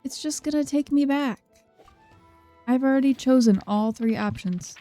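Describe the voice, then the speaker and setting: A woman's voice: high-pitched, normal speed. A woman giving casual playthrough commentary as a game streamer, with muttered exasperated asides. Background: soft game music.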